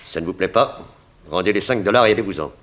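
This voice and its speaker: An actor playing a cold, menacing, obsessive sculptor in a French radio drama, speaking tersely and with detachment. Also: male voice, deep voice, quick pace, fairly bright tone. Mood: curt, commanding. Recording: noisy old radio drama recording.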